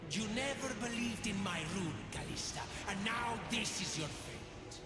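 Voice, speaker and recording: A man's voice: high-pitched, lively intonation, normal speed. An actor playing a brooding, regal undead king in a video game, imperious and obsessed with lost love, speaking in grandiose, menacing, formal lines. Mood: cold contempt. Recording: echoing hall reverb under loud music.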